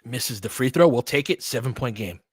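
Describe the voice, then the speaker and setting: Man, mid-pitched voice, casual partisan basketball commentary, slangy, clipped phrases, dry sound. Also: expressive, normal speed, breathy edge, no echo. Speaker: play-by-play host of a fan stream. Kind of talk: live basketball play-by-play and fan commentary.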